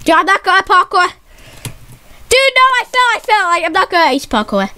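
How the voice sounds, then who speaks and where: A woman giving excited live commentary on a game she is playing with a friend, repeating her warnings and saying "dude" again and again. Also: extremely high pitch, brisk. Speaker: YouTube gamer.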